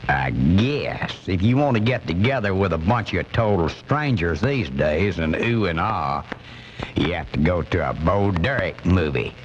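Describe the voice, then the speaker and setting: A man's voice: deep voice, slight background noise. A man playing an angry, blustering redneck commentator in a comic radio monologue, ranting in folksy, ungrammatical indignation. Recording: muffled off-air cassette radio recording, music.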